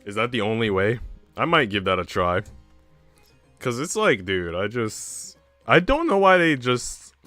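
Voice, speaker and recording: A man's voice: medium pitch, very animated, unhurried, bright, forceful tone, no room sound. A young American man chatting casually with livestream viewers in slangy, informal banter, swearing freely. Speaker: livestreamer and host. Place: indoor room at home. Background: music.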